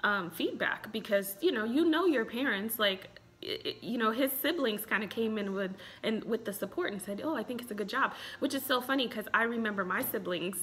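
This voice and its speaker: A woman in her thirties with an American accent, talking in a casual, expressive way as a relationship expert giving a review. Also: a little high, a little fast. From Chicago, United States. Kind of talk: casual vlog commentary. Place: small room indoors at home.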